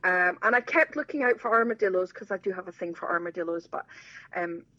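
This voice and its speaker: A woman tells road-trip stories with animated, self-mocking humour. Her pitch swings widely and her voice is a bit husky. The recording sounds dull and lo-fi. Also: fairly quick, dry recording. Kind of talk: casual chat.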